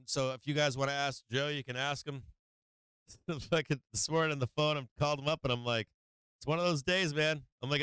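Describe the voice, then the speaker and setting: A man's voice: a little high, a bit bright, smooth, no echo, poor audio. Casual man hosting a trading stream. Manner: loose and profane, many fillers, laughs often. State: losing his voice. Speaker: stock market livestream host.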